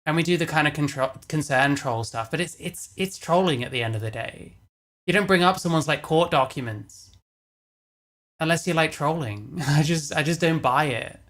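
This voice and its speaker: A man's voice: fairly high pitch, moderate pace, a bit bright. A man giving casual, matter-of-fact livestream commentary, blunt and prone to swearing. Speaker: stream co-commentator.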